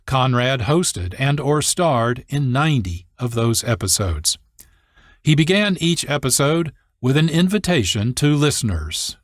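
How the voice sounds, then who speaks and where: A man hosting a radio program, reading scripted, explanatory narration in a measured way. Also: medium pitch, sing-song, no room sound, studio quality. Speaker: radio show producer and host.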